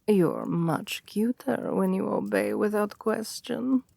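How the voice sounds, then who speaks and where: A woman's voice: mid-range voice, slow pace, no room sound, full character voice. A woman voicing a seductive, domineering vampire queen in an intimate ASMR roleplay, haughty and possessive, with a languid, commanding purr that often drops toward a whisper. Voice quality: breathy, often dropping to whispers. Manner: languid, condescending, commanding purr with soft chuckles.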